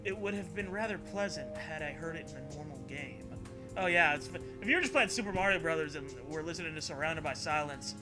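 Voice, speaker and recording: Man, raised pitch, mocking story reading, joking commentary, foul-mouthed, excitable sarcasm, music playing behind. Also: fairly quick. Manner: profane, sarcastic, excitable, laughs often. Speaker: host reading internet horror stories aloud.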